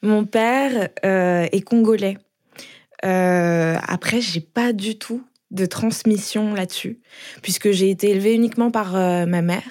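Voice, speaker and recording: A woman hosting a podcast, speaking conversationally in a halting, thoughtful way. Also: mid-range voice, darkish tone. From Paris suburbs, France. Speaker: podcast host. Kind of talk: conversational personal account.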